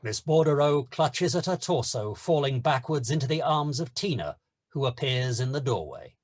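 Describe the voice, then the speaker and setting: A male narrator reads screenplay action at a table read. His voice is mid-pitched and husky, with a lively, varied intonation. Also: normal speed.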